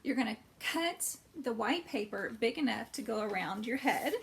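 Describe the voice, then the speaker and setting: Animated high-voiced woman hosting children's storytime. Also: normal speed, vocal fry, slight background noise. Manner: simple explanations and questions addressed to young children. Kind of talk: animated storybook read-aloud and craft talk for children.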